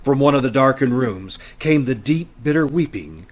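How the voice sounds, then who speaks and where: A man playing a sinister, teasing storyteller of eerie tales in an old-time radio horror play, slyly ominous and deliberate. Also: mid-range voice, expressive, close to an everyday voice. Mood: grave, eerie.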